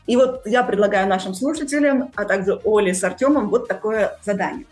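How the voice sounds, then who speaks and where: A woman's voice: medium pitch, brisk, a little mellow, faint music behind. A woman speaking conversationally as a podcast host.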